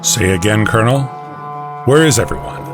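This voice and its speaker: An actor in an audio drama playing a stern US army general, clipped, authoritative and suspicious. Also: male voice, fairly low pitch, animated, normal speed, music in the background, close to an everyday voice. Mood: urgent.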